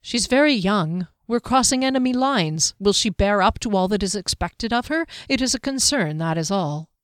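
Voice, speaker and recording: A woman's voice: medium pitch, expressive, normal speed, no echo, lightly acted. Female narrator as young man, formal, courteous, anxious, earnest, fantasy audiobook, dry close sound. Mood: doubtful. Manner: stiff, courteous, overwrought.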